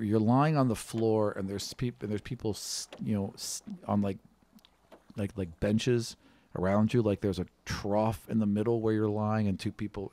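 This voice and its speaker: A man's voice: on the low side, warm tone. A man working as game master, improvising tabletop game narration in a conversational way and describing scenes directly to the player.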